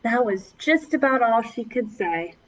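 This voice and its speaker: A woman's voice: a little high, expressive, a little slow, far from the mic, highs cut off. A woman children's librarian leading a preschool storytime, reading aloud and chatting encouragingly to the children as her friends.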